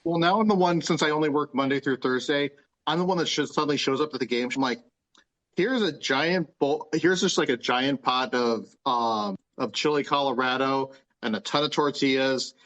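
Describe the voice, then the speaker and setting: A man talks casually as part of a podcast panel. His pitch is average, and the audio is slightly dull and lo-fi. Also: moderate pace, fairly bright tone. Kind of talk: casual chat.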